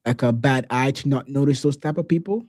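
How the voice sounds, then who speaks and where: A man hosting a podcast, chatting casually in a relaxed, slangy way and often saying "bro". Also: mid-range voice, a little fast, no echo. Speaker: podcast host.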